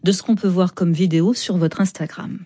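A woman hosting a radio interview, asking questions in a measured, curious way. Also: fairly low pitch, steady tone, dark tone. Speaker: radio host.

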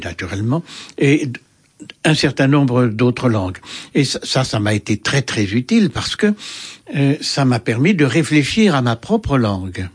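Older man, husky and lively. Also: medium pitch. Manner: musing, hesitant, with frequent fillers and restarts. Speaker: interviewed writer. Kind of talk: reflective interview answers.

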